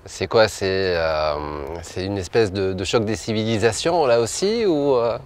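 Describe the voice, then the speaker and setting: A man's voice: fairly low pitch, lively intonation, unhurried. A man hosting an interview, asking polite, hesitant questions with fillers. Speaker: interview host.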